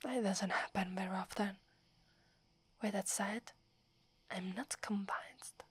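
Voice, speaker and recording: A woman's voice: mid-range voice, steady tone, takes it slowly. Woman whispering as a proud Italian werewolf girl. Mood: flustered. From Italy. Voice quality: soft breathy whisper. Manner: haughty but stammers when flustered. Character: proud wary young werewolf girl, tsundere. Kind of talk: whispered ASMR roleplay.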